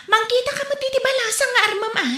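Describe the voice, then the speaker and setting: A woman's voice: extremely high pitch, quick pace, sharp tone, echoey room. A woman with an Ilocano accent acting a teasing, playful mother in a comedy skit, laughing often.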